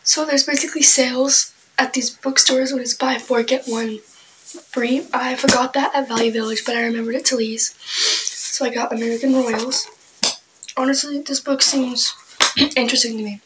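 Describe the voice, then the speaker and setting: A young woman chatting casually about her book haul, clearing her throat often as she loses her voice, her asides trailing off soft and breathy. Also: high-pitched, steady tone, normal speed, background noise. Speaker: book vlogger. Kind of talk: casual book haul chat with read-aloud summaries.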